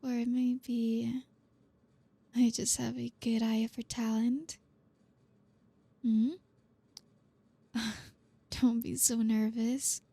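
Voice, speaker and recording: Woman playing a slow, breathy, flirty female boss. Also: fairly high pitch, little pitch movement, dark tone, dry recording, full character voice. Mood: commanding, teasing. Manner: breathy, slow, often dropping into whisper. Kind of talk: ASMR roleplay.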